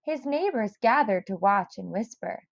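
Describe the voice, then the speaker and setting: Slow-reading woman, dry muffled sound. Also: on the high side, lively intonation, vocal fry, no echo. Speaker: storybook reader. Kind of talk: expressive children's read-aloud narration.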